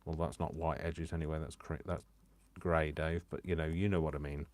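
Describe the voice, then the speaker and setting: A man from Coventry with a Coventry English accent softened by years in Yorkshire, chatting and teasing himself as he demonstrates crafts live. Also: extremely low pitch, flat delivery, fairly quick. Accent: Coventry English, worn by years in Yorkshire. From England. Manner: chatty and self-mocking, talks to himself and the viewers. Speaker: crafting livestreamer.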